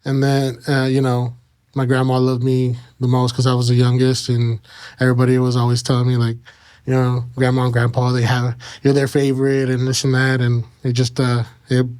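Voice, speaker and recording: A man in his thirties with an American accent shares his life story in a casual interview. His voice is clear and resonant and stays fairly level in pitch. He feels his way through sentences haltingly, pausing often on small fillers. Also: completely flat delivery, a little mellow. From Kansas and Mexico. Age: 30s. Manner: halting, frequent "you know" pauses. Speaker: interview guest.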